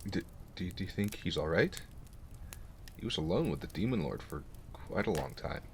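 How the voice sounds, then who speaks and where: A man's voice: sits low, lively intonation, moderate pace, slight background noise. A man playing a hesitant, concerned companion of a hero in a fantasy audio drama. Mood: worried. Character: worried, low-voiced male companion of a hero.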